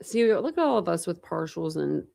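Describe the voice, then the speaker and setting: A woman in her forties chats loosely with her livestream audience, restarting her sentences as she thinks aloud. Her voice sits a little low and carries a gravelly edge. A slight lisp from a dental retainer softens some of her words. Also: sing-song, normal speed. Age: 40s. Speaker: true crime livestream host. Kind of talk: casual livestream chat with viewers.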